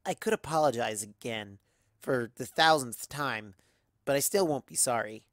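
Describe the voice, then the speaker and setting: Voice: mid-range voice, normal speed, a bit bright, dry recording, studio quality, lightly acted. An adult narrator voicing a sensitive young female unicorn professor and devoted wife in a fan fiction reading. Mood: fond.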